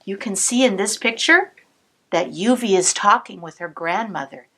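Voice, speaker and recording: A woman cantor reading a picture book aloud to children, expressive, pausing to point out the pictures. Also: mid-range voice, a little hiss or hum. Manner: warm, expressive storytelling with asides to the listener.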